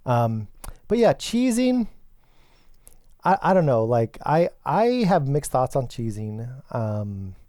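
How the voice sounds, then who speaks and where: A man getting over a cold, a bit hoarse, chatting on a gaming podcast in a halting way that restarts often. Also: medium pitch, darkish tone, no echo, studio quality. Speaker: podcast co-host. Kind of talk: casual gaming podcast chat.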